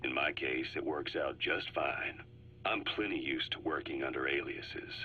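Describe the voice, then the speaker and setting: An actor playing a calm, knowing middle-aged male spy who delivers a scripted game briefing in a measured, deliberate way with dramatic pauses. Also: extremely low pitch, background noise. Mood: wry, matter-of-fact. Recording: dull lo-fi cassette tape, music underneath. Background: music.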